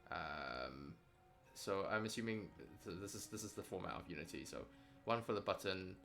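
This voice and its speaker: A man learning software in a casual walkthrough, tentatively thinking aloud and checking his understanding. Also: low-pitched, animated, normal speed, faint music behind.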